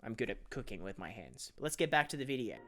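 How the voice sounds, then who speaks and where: A man's voice: on the low side, big pitch swings, quick pace, pushed and bright. A man hosting a reaction video, commenting casually and jokingly with sarcastic, cheeky asides.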